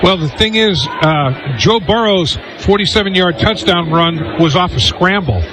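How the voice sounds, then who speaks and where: A man's voice: sits high, lively intonation, a little slow, background noise. A man giving conversational, explanatory football analysis as a radio color commentator.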